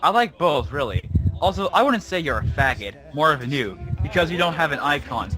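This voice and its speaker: A man's voice: on the high side, very animated, a little fast, pushed and bright, rough recording. A man giving a dramatic reading of an online argument in a dismissive, snide manner. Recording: music playing behind the voice. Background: music.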